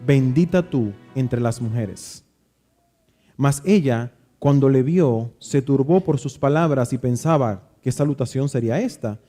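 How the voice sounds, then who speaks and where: A man with a Dominican accent, reading scripture aloud and then telling a reflective story about growing up, pausing and restarting now and then. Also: mid-range voice, mellow, soft background music. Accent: Dominican Spanish. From Dominican Republic. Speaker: preacher. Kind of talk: Bible reading and devotional talk.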